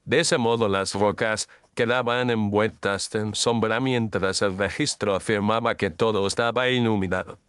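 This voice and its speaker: A man playing a composed, incisive detective in an audio drama, speaking formally and with measured declamation. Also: fairly low pitch, steady tone, a bit bright, smooth, no echo, clean studio sound, lightly acted. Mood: composed, accusing.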